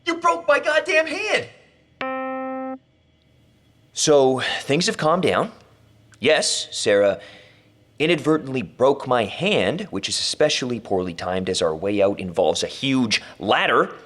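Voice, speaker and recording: An actor playing a frightened, desperate trapped man with a wry streak, recording himself in a breathy audio drama monologue. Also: medium pitch, very animated, slow, pauses often, fairly bright tone, exaggerated voice. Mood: yelling, frantic, then weary, wry.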